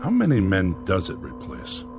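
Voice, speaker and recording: A principled company man in a radio drama, quietly defiant and formal. His voice is low and measured, with faint music beneath. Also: wildly swooping pitch, creaky, heavily acted. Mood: grave, restrained. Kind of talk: audio drama acting.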